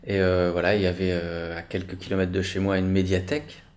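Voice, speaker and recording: A man's voice: low voice, little pitch movement, brisk, darkish tone. A man speaking personally and hesitantly in a documentary interview, reminiscing. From countryside.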